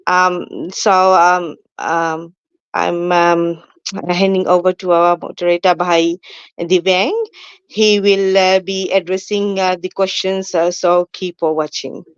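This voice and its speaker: A woman hosting a live online talk show, speaking in a halting, hesitant way as she introduces it. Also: fairly low pitch, level tone, clean tone, dry recording, lo-fi sound.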